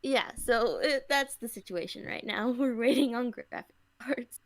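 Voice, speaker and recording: A woman's voice: fairly high pitch, a little slow, no room sound. A young woman chatting casually on a podcast.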